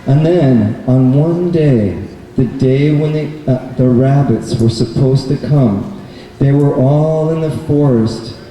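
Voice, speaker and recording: Slow, dark-toned man storytelling in echoey hall. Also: mid-range voice. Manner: simple, deliberate, repeats words for children. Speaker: storyteller and puppeteer. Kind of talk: children's storytelling at a live puppet show. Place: public event space indoors, far from mic. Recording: very echoey noisy hall, distant mic.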